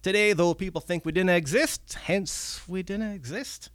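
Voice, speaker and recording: Man putting on a gruff red cap goblin. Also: high voice, a bit bright, no room sound, studio quality, voice pushed up. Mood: wistful. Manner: gruff, folksy, drawn-out swearing.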